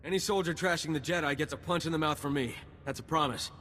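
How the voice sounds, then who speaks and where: An actor playing a high-strung, excitable young army private in a video game. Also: male voice, fast talker, big-room sound, a little hiss or hum, lightly acted. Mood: eager, grateful. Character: nervous young male army private, eager, panicky. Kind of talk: scripted game dialogue.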